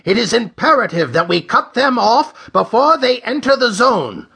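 An elderly fleet commander in a pulp space story, put on by a male narrator. The voice is pitched well up, formal and crisp, as if sending urgent orders by radio. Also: very animated, normal speed, bright, forceful tone, exaggerated voice.

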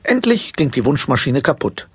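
A man narrating a children's story from a script in an expressive storytelling manner. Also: mid-range voice, normal speed, breathy edge, dry recording.

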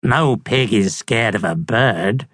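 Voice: medium pitch, expressive, slow, fairly bright tone, husky, no room sound, clean studio sound, full character voice. A storybook narrator voicing a bossy, boastful and overconfident male pig adventurer. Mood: bossy, dismissive. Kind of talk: storybook character reading.

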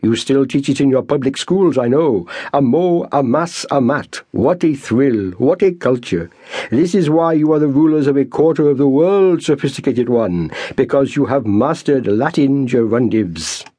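A man voicing a sly, mock-humble army sergeant who heaps on elaborate flattery. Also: medium pitch, normal speed, breathy edge, no room sound, voice pushed up. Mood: mock-flattering.

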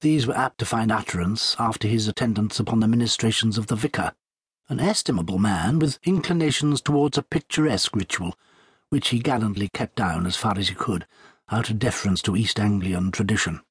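A man narrating a literary story as an audiobook, measured and articulate, with formal phrasing. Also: on the low side, slightly rough, dry recording.

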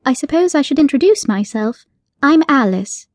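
A woman voicing an innocent, earnest young woman with a pleading manner, her pitch raised above her own voice. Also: animated, moderate pace, darkish tone, no room sound, clean studio sound.

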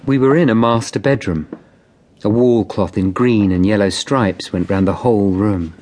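A man's voice: on the low side, normal speed, a little mellow, natural voice. A man playing an earnest, polite but persistent Tudor lawyer, narrating and speaking in a formal radio drama style. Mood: measured narrating. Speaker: narrator and lead character. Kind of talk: full-cast radio drama, scripted narration and dialogue.

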